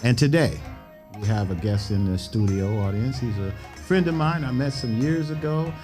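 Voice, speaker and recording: A man's voice: medium pitch, music in the background. Laid-back man hosting. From Cleveland, Ohio. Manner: easygoing, slangy, drawn-out "man" tags. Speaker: podcast host. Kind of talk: casual interview chat. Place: studio.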